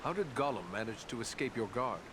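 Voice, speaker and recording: An actor playing an earnest human ranger in a fantasy game, speaking formally and steadily with polite courtesy. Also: male voice, medium pitch, animated, fairly quick, lightly acted. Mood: polite curiosity. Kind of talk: fantasy video game character acting. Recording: clear background ambient noise.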